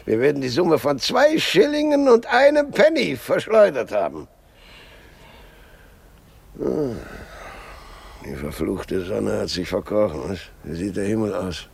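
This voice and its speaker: Gravelly, cantankerous old man. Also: mid-range voice, sing-song, a little slow, long pauses, exaggerated voice. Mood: rambling complaint. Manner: grumbling, pedantic, declamatory. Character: cantankerous blind elderly husband, miserly and querulous. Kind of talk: radio drama acting.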